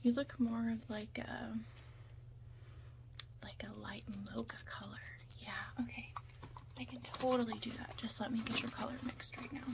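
High, whispery young woman doing ASMR. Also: steady tone, moderate pace, long pauses, background noise. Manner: hushed, hesitant, chatty. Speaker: ASMR roleplay performer. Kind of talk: ASMR roleplay, whispering and soft talk.

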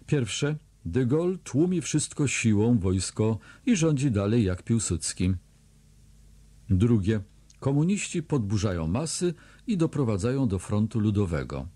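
A man with a husky, somewhat low voice reads diary entries aloud for an audiobook. His tone is dark and mellow, and his delivery is dry and sardonic, biting down on every jab. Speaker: audiobook reader.

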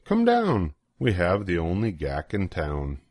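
A man's voice: low-pitched, huge pitch swings, a little slow, a little mellow, no room sound, clean studio sound. An older man reading a children's rhyming picture book aloud in a playful, sing-song way with swooping emphasis. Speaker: storybook reader.

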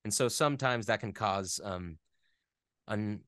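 A man in a casual interview, explaining things in a halting, conversational way as a guest expert. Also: on the low side, fairly bright tone, dry recording, studio quality. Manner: halting, filler-heavy explaining with playful sound imitations. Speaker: interview guest, game audio editor and voice actor.

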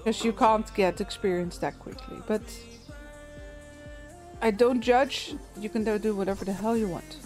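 A woman streamer with a gentle, soothing voice chats loosely with her viewers as she plays. She talks at an easy pace, with lots of fillers and small laughs. Faint game music plays underneath. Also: mid-range voice, a little slow. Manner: relaxed, chatty, frequent little laughs and fillers. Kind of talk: casual gameplay commentary, chatting with viewers.

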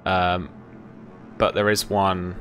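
A man's voice: low voice, level tone, slow pace, a bit bright. A young man running a tabletop game as game master, narrating and talking rules in a casual, hesitant way. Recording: music behind the voice.

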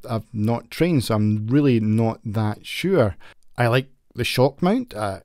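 A man in his thirties talks through a product review in a plain, modest way, with the odd filler. His voice sits low, and it is caught close on a condenser mic in a small home studio. The sound is very dry, with no room around it. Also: darkish tone, dry recording. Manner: plain, unpolished, self-deprecating talk with fillers. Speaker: tech reviewer. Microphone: large studio condenser mic close up, headphones on.

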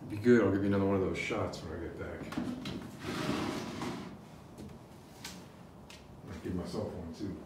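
A man in his forties playing an unstable, falsely reassuring survivalist captor in an ASMR roleplay, speaking softly and haltingly with a gravelly voice. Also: sits low, fairly even intonation, heavy background noise, natural voice. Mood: uneasy friendliness. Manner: halting, hesitant, rambling. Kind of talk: ASMR roleplay, soft-spoken acted monologue. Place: dim concrete basement, indoors.

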